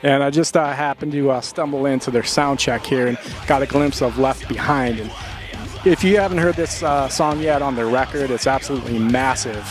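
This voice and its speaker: A man of average pitch interviews a musician in a casual way. His questions run long and wind around, full of "you know" and "uh". He is recorded at a music venue. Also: fairly quick. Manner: long, winding questions with fillers.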